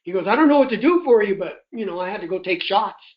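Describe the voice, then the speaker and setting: A man's voice: high voice, fast talker. A man, middle-aged or older, telling a personal story in a folksy, chatty way, acting out remembered dialogue on a conference call. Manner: animated retelling with quoted dialogue. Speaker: host telling his personal health testimony. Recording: dull, muffled conference-call audio.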